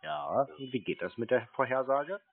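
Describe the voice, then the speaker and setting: A husky male voice of middling pitch, heard through narrow phone-band sound. He replies in short, terse bits and persistently asks simple questions, sounding slow to catch on. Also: very animated, no room sound. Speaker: prank-call show host posing as customer.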